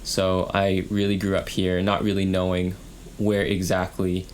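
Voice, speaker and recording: A man's voice: sits low, completely flat delivery, slight background noise. A young man from Canada co-hosting a student podcast, talking casually with many fillers and restarts. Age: young adult. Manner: halting, many ums and likes. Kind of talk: casual conversation.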